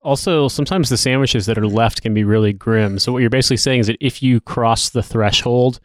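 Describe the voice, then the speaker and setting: A man's voice: mid-range voice, brisk, no echo, clean studio sound. A man on a comedy podcast giving casual, conversational commentary.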